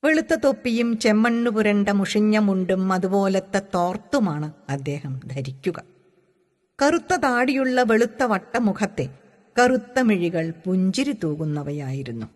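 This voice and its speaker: A woman from reads literary prose aloud as a measured, deliberate storyteller. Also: mid-range voice, lively intonation, darkish tone. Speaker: audiobook reader. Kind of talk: expressive literary narration. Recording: long hall-like reverb.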